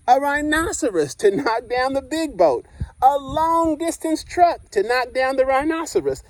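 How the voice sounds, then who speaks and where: Slow, high-voiced man reading a picture book. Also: dry recording, poor audio. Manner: expressive storytelling with asides to listeners. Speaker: school principal reading aloud. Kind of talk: children's picture book read-aloud.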